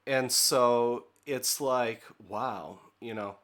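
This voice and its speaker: A middle-aged man from the United States giving a relaxed training lecture on leadership, talking conversationally with filler words and checking in with "okay?". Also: mid-range voice, drawn-out words.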